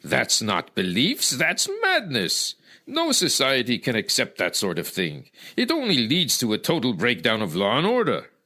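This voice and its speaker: A man playing a smug, pragmatic high priest with dismissive, deliberate delivery in old-time radio style. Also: wildly swooping pitch, pushed and bright, heavily acted. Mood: scornful, emphatic.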